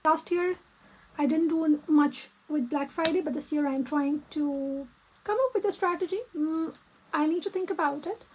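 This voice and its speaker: A woman from Pakistan with a Pakistani accent and Urdu as her native language, thinking aloud haltingly in a casual planning vlog. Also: high voice, darkish tone, a little hiss or hum, poor audio. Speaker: vlogger and small business owner. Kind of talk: casual plan-with-me vlog talk.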